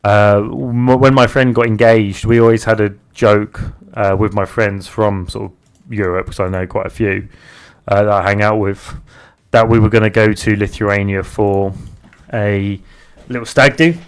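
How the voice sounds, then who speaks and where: A young British man streaming live, chatting casually and haltingly as he reads out viewer messages. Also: fairly low pitch, fairly even intonation, smooth. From Britain. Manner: rambling, halting, restarts often while reading viewer chat aloud. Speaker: live streamer and game developer.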